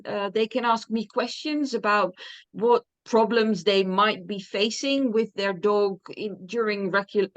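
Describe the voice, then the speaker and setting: A woman's voice: mid-range voice, lo-fi sound. A woman from the Netherlands with a Dutch accent, chatting eagerly as an interview guest, laughing often and using many fillers. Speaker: dog trainer guest. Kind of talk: casual interview chat.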